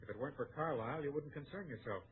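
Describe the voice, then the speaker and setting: A man's voice: mid-range voice, very fast, a crowd in the background, lightly acted. An actor playing a desperate, self-justifying townsman in an old American radio western, with an earnest, dramatic delivery. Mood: resigned, defensive. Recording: muffled, narrow-band old radio recording.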